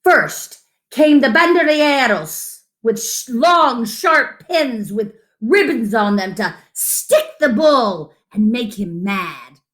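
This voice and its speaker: A woman's voice: fairly high pitch, expressive, takes it slowly, a bit bright. A woman reading a picture book aloud to children as a storyteller, with dramatic emphasis and asides to her listeners.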